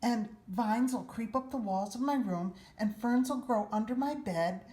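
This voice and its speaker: Woman voicing an eager young storybook boy, higher pitched. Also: mellow, close to an everyday voice. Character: eager, imaginative young boy who loves nature. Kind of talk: children's storybook read-aloud. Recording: roomy echo, faint background noise.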